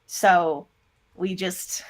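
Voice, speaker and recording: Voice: medium pitch, steady tone, drawn-out words. Casual, rambling audio drama producer, feminine-sounding voice. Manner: rambling, with self-quoting asides. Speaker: interview guest, audio drama producer and voice actor. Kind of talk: casual explanatory interview talk.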